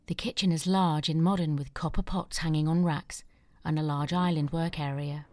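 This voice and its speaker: Low-pitched woman narrating a screenplay. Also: steady tone, normal speed, darkish tone, no room sound. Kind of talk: scripted narration of stage directions.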